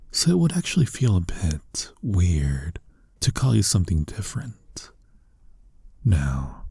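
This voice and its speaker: A man playing a flirty, tender incubus in an intimate ASMR roleplay, speaking softly and teasingly with a breathy, husky voice. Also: on the low side, dry recording, exaggerated voice. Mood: tender, soothing. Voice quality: soft, breathy, husky, often near a whisper. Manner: teasing, coaxing, slow and intimate. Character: flirty, tender male incubus lust demon comforting a lover.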